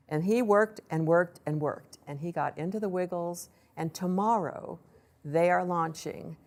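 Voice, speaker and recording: A woman presenting a startup case study to an audience in a lively storytelling way, acting out what people said. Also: low voice, creaky. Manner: lively storytelling, acts out quoted voices. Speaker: accelerator program director giving a presentation.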